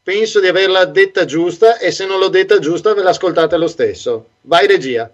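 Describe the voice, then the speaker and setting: Casual male radio host, high voice, slightly dull sound. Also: a little fast.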